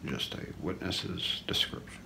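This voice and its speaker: A middle-aged man reading an ASMR true-crime story in a hushed, deliberate way, often slipping into a near-whisper. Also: deep voice, gravelly. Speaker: ASMR role-play narrator. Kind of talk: ASMR true-crime narration read from notes. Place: small room indoors. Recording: faint background noise.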